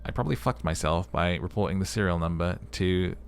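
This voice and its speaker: A man's voice: low voice, brisk, gravelly, a little hiss or hum. A man hosting a let's-play, reading game text as a narrator and adding casual commentary.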